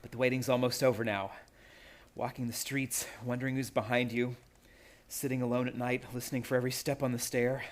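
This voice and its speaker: A man's voice: mid-range voice, flat delivery, fairly quick, natural voice. An actor playing a proud, nerve-racked young man in a suspense radio play, earnest and tense. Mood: anxious, fearful.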